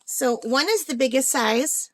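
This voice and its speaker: A woman's voice: high-pitched, measured pace. A woman hosting a craft unboxing vlog in a casual, chatty way, thinking aloud, with a slightly tired, under-the-weather voice. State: slightly unwell, voice tiring. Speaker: craft channel host.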